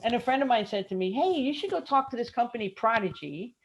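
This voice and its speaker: A middle-aged woman with a Brooklyn accent, chatting lively as an interview guest on a video call and laughing often. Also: a little high, fast, husky, dull audio. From Brooklyn, New York. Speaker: guest, travel industry consultant. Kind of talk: casual interview chat.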